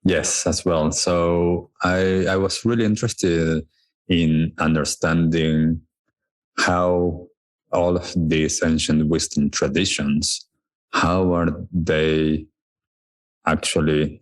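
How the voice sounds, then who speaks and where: A man from Argentina, a podcast guest, telling his story in a calm, measured and thoughtful way. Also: a bass voice, dry recording, rough recording. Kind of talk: reflective conversational storytelling.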